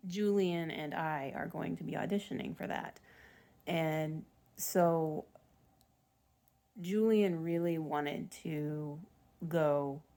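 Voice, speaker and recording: A woman in her forties with a raspy voice, chatting in a rambling, casual way as a family vlogger. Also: deep voice, darkish tone. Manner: chatty, rambling, self-deprecating with small laughs. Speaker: vlogger mom. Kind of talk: rambling casual vlog chat.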